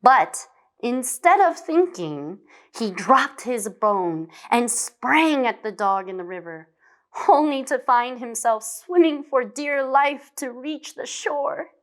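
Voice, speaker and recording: Female storybook narrator, expressive, highish voice, unhurried, long hall reverb. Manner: expressive, dramatic, deliberate. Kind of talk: scripted fable narration.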